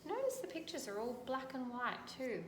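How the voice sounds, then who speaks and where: An Australian woman teacher reading a picture book aloud and gently pointing out details in the pictures. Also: high voice, big pitch swings, moderate pace. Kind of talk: storybook read-aloud with commentary on pictures. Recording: echoey room, faint background noise.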